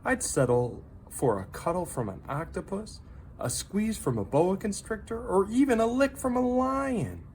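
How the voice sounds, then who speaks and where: A lonely boy from a children's storybook, voiced by an adult reader. He sounds plaintive and yearning, with a raised pitch that moves around expressively. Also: animated, unhurried, darkish tone, a little hiss or hum. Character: lonely, yearning boy who burns like fire.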